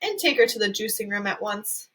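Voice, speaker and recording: A woman's voice: mid-range voice, lively intonation, a little fast, pushed and bright. A woman voicing an eccentric, fussy male candy maker who sighs and apologizes.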